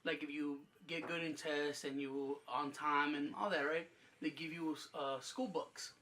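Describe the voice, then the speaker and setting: A young man hosting a casual podcast chat, with a high-pitched voice, mumbling a little and often laughing as he tells stories. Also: a little slow, rough recording. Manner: mumbling, laughing, animated storyteller. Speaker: podcast host.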